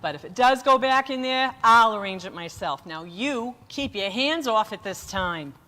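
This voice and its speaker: A woman playing a long-suffering, wry but firm wife in an old-time radio comedy, with a dry, measured delivery. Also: fairly high pitch, lively intonation, pushed and bright.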